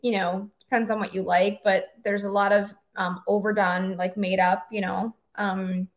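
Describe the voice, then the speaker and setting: A woman in her forties who is a guest attorney on a podcast, chatting in a relaxed way and often trailing off with fillers. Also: mid-range voice, moderate pace. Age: 40s. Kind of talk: casual interview chat.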